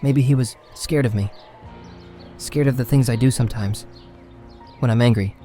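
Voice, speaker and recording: A man playing a troubled young orphan boy, proud and misunderstood, who talks in a halting, defensive, earnest way in a fantasy horror audio drama. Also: mid-range voice, warm tone, faint music behind, lightly acted. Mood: hurt, frustrated.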